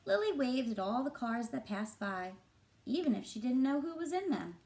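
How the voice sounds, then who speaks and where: A woman reading a picture book aloud to children as a teacher, in an expressive, deliberate and engaging way. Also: high voice. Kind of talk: expressive storybook narration for children.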